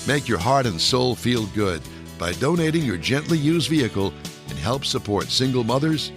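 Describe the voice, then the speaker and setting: A man's voice: mid-range voice, big pitch swings, normal speed, over music. American man hosting a car radio show. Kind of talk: radio hosting and scripted sponsor reads.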